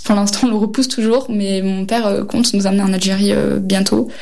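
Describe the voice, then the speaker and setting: A woman's voice: medium pitch, level tone, brisk, gravelly, darkish tone. A teenage girl speaking reflectively in an interview, hesitating often with fillers as she gathers her thoughts. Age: teens. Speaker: high school student interviewee. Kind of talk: reflective testimony.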